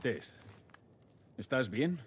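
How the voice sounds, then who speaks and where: A man playing a gruff, weary, protective middle-aged survivor in a video game, terse and gravelly, with a Castilian Spanish accent. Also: medium pitch, big pitch swings, very slow, background noise. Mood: gruff concern. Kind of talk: dramatic video game voice acting.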